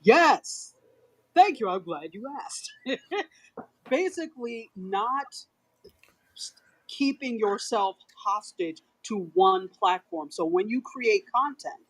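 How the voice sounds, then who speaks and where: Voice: mid-range voice, expressive, takes it slowly, pauses often, no room sound, low-quality recording. A guest speaker on a casual panel, explaining a concept patiently with examples.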